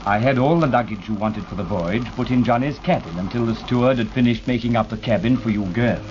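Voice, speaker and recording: A man's voice: medium pitch, fairly quick, a little mellow, a little hiss or hum, natural voice. A man in a vintage radio play voicing Brother Jim, an earnest, protective older brother who sounds concerned. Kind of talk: 1940s radio acting. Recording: dull old radio recording.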